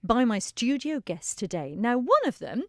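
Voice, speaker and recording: A woman's voice: on the high side, very animated, moderate pace, dry recording. Manx lady radio host, enthusiastic, chatty, close dry studio mic. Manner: warm, bubbly and enthusiastic. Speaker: radio presenter. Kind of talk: live radio interview.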